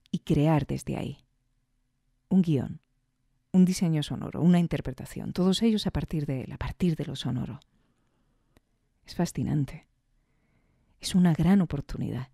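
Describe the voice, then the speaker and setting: A woman's voice: lowish voice, gravelly, dark tone, no echo, studio quality. A woman with an accent from Spain, hosting a podcast alone with reflective, emphatic commentary and rhetorical repetition. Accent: Spanish from Spain. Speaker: podcast host and reviewer. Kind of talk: reflective solo commentary on audio fiction.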